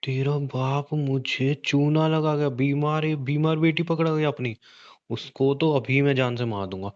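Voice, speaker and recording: A man's voice: on the high side, a little slow, lightly acted. A man playing a hot-tempered, suspicious young husband who badgers bluntly with repeated questions in a conversational audio drama. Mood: angry, threatening. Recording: dull, lo-fi call-recording sound.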